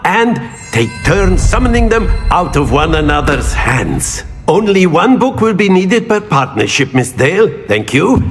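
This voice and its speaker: An actor playing a jovial older male magic-school professor in a video game, theatrical, teasing and wordy, with drawn-out prompting hums. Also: a little high, fairly bright tone, long reverb tail, heavily acted. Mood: teasing, instructing. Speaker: charms teacher.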